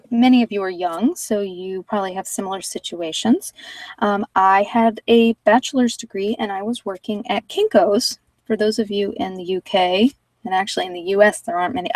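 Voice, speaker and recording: A woman's voice: mid-range voice, no echo, far from the mic, rough recording. American woman just chatting to viewers, rambly and candid, dry sound but a bit off the mic. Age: middle-aged. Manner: rambling, candid, digressive storytelling. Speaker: vlogger chatting with live viewers. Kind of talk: casual chat.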